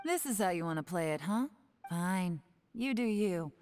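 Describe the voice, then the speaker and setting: Woman acting a cool, low-voiced sardonic investigator. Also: expressive, normal speed, darkish tone, smooth, close to an everyday voice. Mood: confident, taunting. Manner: sardonic, assured, cutting. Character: cool, shrewd female investigator, sardonic and in control. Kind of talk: dramatic game voice acting.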